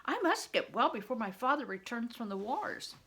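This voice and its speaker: A woman's voice: a little high, very animated, normal speed, a bit bright, breathy edge, pitched above the performer's own voice, exaggerated voice. A woman voicing an earnest young boy, an eager pupil with old-fashioned formal phrasing, in a storybook.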